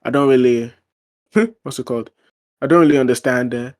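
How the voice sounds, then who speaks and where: A man's voice: mid-range voice, normal speed. A man in a casual online debate, arguing his point with frequent fillers and restarts. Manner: argumentative, lots of fillers and restarts.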